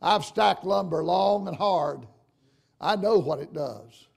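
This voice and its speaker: An older man with a gravelly, raspy voice, preaching a folksy, emphatic sermon to his congregation. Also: high-pitched, slow. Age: older, 60s or 70s. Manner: emphatic, folksy, addressing listeners directly with questions. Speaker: preacher, church bishop. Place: church sanctuary, at the pulpit.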